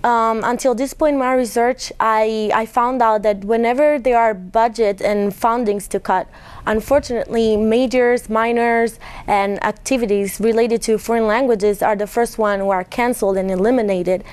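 A woman's voice: on the high side, fairly even intonation, moderate pace, a little mellow, clean tone. A young woman from Italy with an Italian accent, a language lab facilitator explaining her work earnestly in a college TV interview. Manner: earnest, explanatory, with small restarts. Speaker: ESL lab facilitator, guest.

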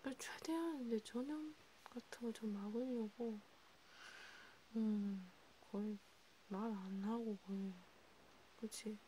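A woman playing a sympathetic vampire counselor in a whispered ASMR role play, breathy and intimate, talking casually and haltingly. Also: on the high side, steady tone, mellow, a little hiss or hum, rough recording. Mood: soft, reflective. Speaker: ASMR creator.

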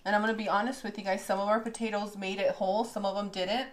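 A woman in her thirties hosting a casual cooking demonstration in a bright home kitchen, chatty and upbeat, laughing often. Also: mid-range voice, level tone, quick pace. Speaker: cooking show host.

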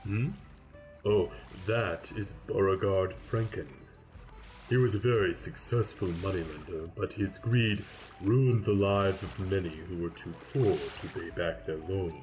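A man playing a polite demon grim reaper in an audio drama, speaking deliberately and formally. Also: low-pitched, darkish tone, rough recording. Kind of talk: dramatized webcomic audio play.

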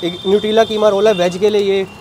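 A young man with a high voice who talks fast, politely walking a visitor through the dishes at his night food stall. He wears a clip-on mic, and faint street noise comes from around him outdoors. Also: little pitch movement, a little mellow. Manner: polite, explains menu items and protein counts in detail. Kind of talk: casual explaining to a food vlogger.

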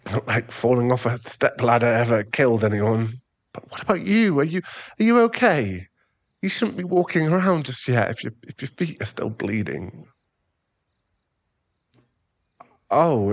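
A man playing a remorseful, tender boyfriend and expectant father in an intimate ASMR roleplay, speaking softly in halting, stammered phrases. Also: medium pitch, no room sound. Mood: flustered, concerned. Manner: halting, stammering, soft-spoken apology.

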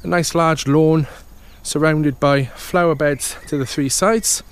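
A man with a slightly raised pitch leads a walking tour of a house as an estate agent. His sales patter is upbeat and steady, with plenty of "okay" and "fantastic" as he moves from room to room. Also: takes it slowly, clear, resonant tone. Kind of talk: property tour walkthrough.

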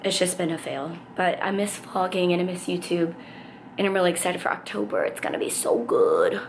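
Hoarse, husky young woman talking straight into a handheld camera in a small bedroom, chatty and upbeat despite a worn, scratchy voice. She rambles casually through her morning plans, with faint ambient noise behind her. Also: on the low side, sing-song, a little fast. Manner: bubbly, giggly, chatty. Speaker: vlogger. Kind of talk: casual vlog chat. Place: bedroom at home, indoors. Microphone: handheld camera at arm's length.

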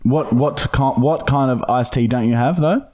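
A man in his thirties with an Australian accent, chatting casually and deadpan as a prank-calling podcast host on a close studio microphone. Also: mid-range voice, moderate pace. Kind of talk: casual, deadpan phone chat. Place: small podcast studio, indoors. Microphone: close boom-arm studio microphone with headset.

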